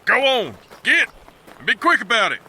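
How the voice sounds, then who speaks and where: A man as a sharp, blustering Southern backwoods pa. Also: very high voice, huge pitch swings, moderate pace, a little hiss or hum, full character voice, poor audio. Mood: bossy, wary. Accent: Southern American. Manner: blustering, folksy, literal-minded. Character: suspicious, gruff, dim-witted backwoods father with rifle. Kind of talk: comedic western audio drama.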